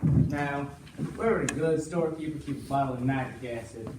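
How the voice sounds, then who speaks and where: A man's voice: mid-range voice, moderate pace, close to an everyday voice. A man acting a sneaky, suspicious cowboy in a western stage melodrama, confiding in the audience. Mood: sly, secretive. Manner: conspiratorial asides to the audience. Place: indoor small school stage before an audience. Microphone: far camera. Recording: distant echoey stage, loud room noise.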